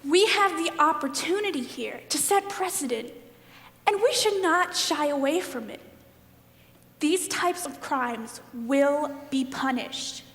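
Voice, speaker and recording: A woman's voice: high-pitched, lively intonation, slow, a bit bright, heavily acted. A woman on stage playing a wounded, defiant juror who survived bullying, speaking with pleading emphasis in a play monologue. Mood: resolute. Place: live stage performance in a hall. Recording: echoey hall, long reverb.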